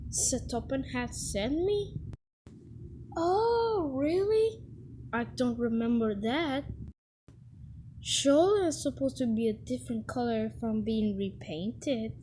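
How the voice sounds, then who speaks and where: A woman voicing a mischievous young twin tank engine, a cheeky, playful boy in an animated children's series. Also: a little high, slow, a little hiss or hum, poor audio. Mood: sly, teasing.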